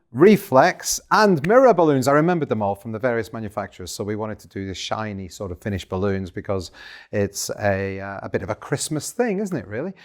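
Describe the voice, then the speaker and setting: A man with a croaky voice, chatting through a shop's business update in a self-deprecating way. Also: lowish voice, a little mellow. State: croaky voice after a party. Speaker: shop owner hosting a business update show. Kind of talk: casual business update to camera.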